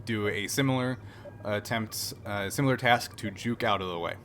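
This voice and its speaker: A man running a tabletop role-playing game as game master, narrating in a relaxed, halting way with fillers. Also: lowish voice, a little hiss or hum.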